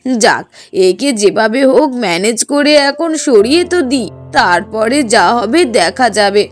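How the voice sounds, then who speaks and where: A woman's voice: on the high side, animated, measured pace, soft background music. A woman playing a patient, kindly older mother. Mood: placating. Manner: soothing, reasoning, mildly exasperated. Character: kindly older mother, patient, gently doubting, protective of daughter-in-law. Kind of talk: Bengali cartoon dialogue.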